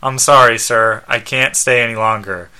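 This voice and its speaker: A man's voice: medium pitch, steady tone, moderate pace, sharp tone, smooth, pitched below the performer's own voice. A man voicing a polite, concerned robot butler companion from a comic book, earnest and deferential. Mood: earnest, pleading. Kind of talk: comic book read aloud.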